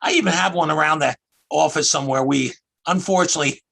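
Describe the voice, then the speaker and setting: A man's voice: a little high, fast, gravelly, pushed and bright. A man in his sixties with a Philadelphia accent, a guest telling rambling stories about his work over a video call. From Philadelphia area. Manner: rambling, chatty storyteller. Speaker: guest, tax accountant.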